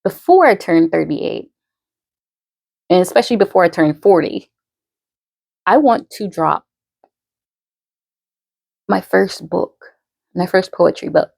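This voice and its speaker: Woman in her late thirties, casual chat to followers, very slow rambling delivery, heavy vocal fry, dry close sound. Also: fairly low pitch, lively intonation, a little mellow, no echo. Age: 30s. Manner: slow, rambling, many fillers and restarts. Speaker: poet and podcaster.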